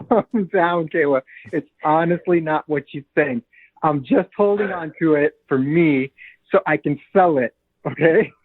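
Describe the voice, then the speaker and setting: A man talks casually on a call to a radio show. His voice is slightly high, and it sounds thin and narrow over the telephone line. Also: measured pace. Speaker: husband called on a radio show. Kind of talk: casual phone conversation. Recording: narrow tinny phone line.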